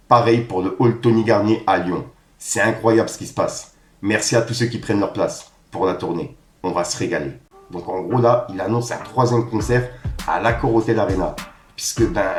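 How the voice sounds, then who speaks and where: Man, rap news host, low voice, slangy and casual, roomy echo. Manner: casual street slang, reads posts aloud.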